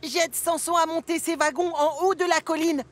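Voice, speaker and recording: A woman's voice: very high voice, steady tone, normal speed. A performer voicing a cheeky, teasing young male tank engine in a cartoon dub. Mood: cheerful. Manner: teasing, giggly.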